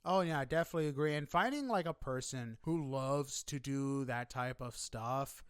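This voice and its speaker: A man hosting a podcast, chatting casually with a guest in an animated, emphatic and informal way. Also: medium pitch, moderate pace, clear, resonant tone, dry recording, clean studio sound. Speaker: podcast host interviewing guests. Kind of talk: casual interview chat.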